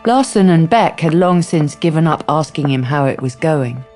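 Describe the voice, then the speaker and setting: A woman's voice: deep voice, expressive, normal speed, darkish tone, soft background music. A woman narrating a radio drama from a script.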